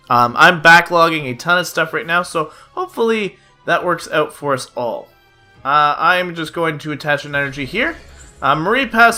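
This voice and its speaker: A man's voice: high voice, bright, forceful tone. A young man giving chatty play-by-play commentary on a card game, slightly nasal from a cold, with lots of "uh" fillers. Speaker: gaming YouTuber. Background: background music.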